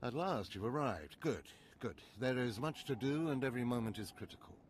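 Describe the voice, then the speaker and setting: Sith overseer, played by a man: mid-pitched, grave, commanding, formal scripted game dialogue, measured delivery, slight background noise. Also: lively intonation, lightly acted. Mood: grave, urgent.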